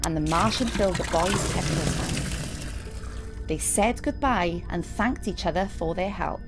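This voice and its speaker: A woman reading a picture book aloud to young children, expressive and speaking straight to her listeners. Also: lowish voice, a little slow. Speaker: storyteller and teacher reading to children. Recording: music under the voice. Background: music and sound effects.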